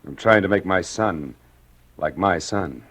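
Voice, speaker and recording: A man playing a brisk, domineering doctor and father in 1940s radio drama, hearty, assertive and needling, on a vintage recording. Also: low voice, lively intonation, fairly bright tone, slightly rough, exaggerated voice. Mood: stern.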